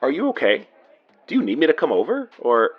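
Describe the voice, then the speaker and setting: A man's voice: a little high, huge pitch swings, brisk, breathy edge, narrow, tinny audio, a faint crowd, heavily acted. An actor playing a flirty, easygoing male police officer in a naturalistic audio drama. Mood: concerned, puzzled. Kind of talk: naturalistic horror fiction podcast acting.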